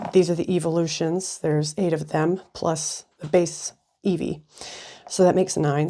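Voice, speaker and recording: A woman hosting a casual craft tutorial, chatty with frequent ums, her voice slightly raspy and sniffly from a cold. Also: low-pitched, warm tone. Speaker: craft video host.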